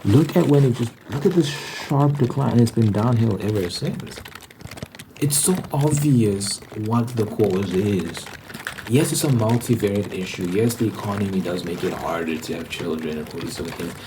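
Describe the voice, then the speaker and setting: Low-pitched man rambling in ASMR style. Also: moderate pace, background noise. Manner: hesitant, repeating words, informal. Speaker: host. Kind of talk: casual rambling ASMR monologue.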